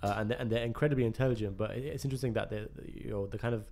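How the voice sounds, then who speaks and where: A man with a West London accent hosting a casual podcast over a video call, chipping in with quick, chummy remarks. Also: fairly low pitch, gravelly, warm tone. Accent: West London English.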